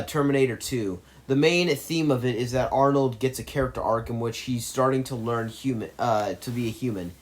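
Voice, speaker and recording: A man's voice: mid-range voice, fairly bright tone. A man in an opinionated movie commentary, arguing his case emphatically and rambling through restarts.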